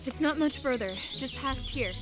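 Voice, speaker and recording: A woman's voice: high-pitched, lively intonation, moderate pace, over music. An actress playing a concerned, determined young woman in an audio drama game, earnest and insistent.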